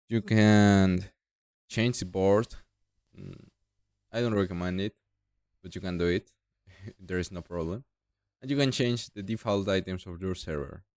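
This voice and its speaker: A young man from Mexico with a Mexican Spanish accent, speaking halting non-native English as he walks through a software tutorial step by step. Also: fairly low pitch, no room sound, clean studio sound. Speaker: tutorial creator. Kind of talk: screen-recorded software tutorial.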